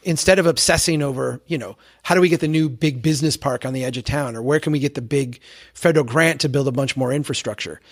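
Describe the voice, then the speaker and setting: An American man in his forties explaining his views earnestly and with conviction as an interview guest. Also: a little high. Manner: earnest, opinionated, uses rhetorical contrasts. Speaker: podcast guest, advocate for towns.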